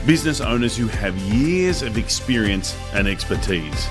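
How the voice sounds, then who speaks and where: A man reading a scripted promotional podcast introduction as an announcer. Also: fairly low pitch. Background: music bed.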